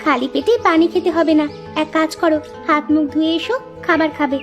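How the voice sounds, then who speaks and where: A woman's voice: high-pitched, steady tone, fairly quick, soft background music, close to an everyday voice. A woman voicing a caring, bossy village farmer's wife who chides and reassures in a cartoon folk tale. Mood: chiding.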